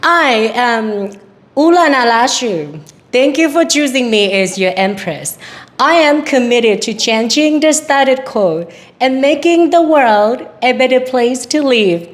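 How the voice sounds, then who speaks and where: A man's voice: extremely high pitch, expressive, unhurried. A man putting on a stately pageant-queen empress. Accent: Vietnamese. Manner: formal, stately, speech-like delivery. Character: regal imperial empress speaking like a pageant queen.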